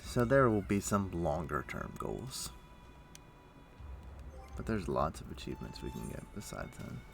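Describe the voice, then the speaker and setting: Low-pitched, creaky-voiced man streaming. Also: very animated, a little slow. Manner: rambling, thinking aloud. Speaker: livestream host. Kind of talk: casual game stream commentary. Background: music.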